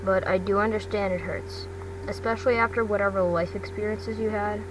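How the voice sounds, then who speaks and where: A woman's voice: mid-range voice, steady tone, a little fast, lightly acted. Protective male teacher read by a woman, blunt yet caring, consoling, earnest, fan-fiction audio, distant mic. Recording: distant mic, clearly noisy background.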